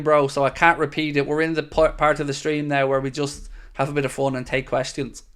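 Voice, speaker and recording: A man's voice: a little high, a bit bright, clear, resonant tone. Chatty upbeat man streaming. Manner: chatty and upbeat, reads questions aloud then answers. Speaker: host of a football fan channel. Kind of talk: live-stream chat answering viewer questions.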